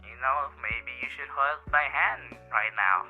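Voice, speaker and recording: A man voicing a vain, smitten radio demon in a theatrical, pompous, teasing manner through an old-time radio filter, in character roleplay audio. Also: medium pitch. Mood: tender, affectionate. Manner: theatrical, pompous, teasing, with laughs and halting asides. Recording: muffled band-limited radio sound. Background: music.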